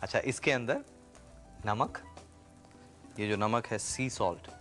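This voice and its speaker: A middle-aged man hosting a TV cooking show, explaining each step calmly with small pauses, recorded on a lapel mic in a studio kitchen. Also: lowish voice, soft background music. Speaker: TV chef and host. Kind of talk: cooking show demonstration with chat.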